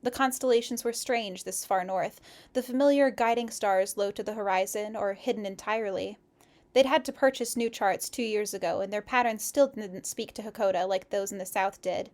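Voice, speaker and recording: A woman reading a story aloud expressively, in the relaxed, chatty way of a casual co-host. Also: mid-range voice, normal speed. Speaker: co-host of a fan fiction read-aloud stream.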